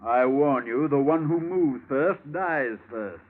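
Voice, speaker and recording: An actor playing a formal, coldly threatening corrupt chief inspector as a 1940s radio villain. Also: male voice, mid-range voice, animated, takes it slowly. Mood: cold menace. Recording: tinny, band-limited vintage radio recording.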